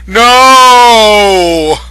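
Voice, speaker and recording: A man's voice: high-pitched, drawn-out words, bright, forceful tone, soft background music, pitched above the performer's own voice, exaggerated voice. A man voicing a melodramatic, angry, foul-mouthed teenage goth girl in a comic fan fiction reading, with indignant, dramatic outbursts. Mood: horrified scream.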